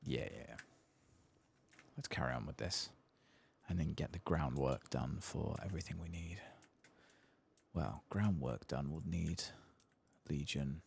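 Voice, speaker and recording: A soft-spoken man hosting an ASMR game playthrough, muttering to himself and at times dropping to a whisper. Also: extremely low pitch, measured pace, creaky, long pauses, a little hiss or hum. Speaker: host of a game playthrough channel.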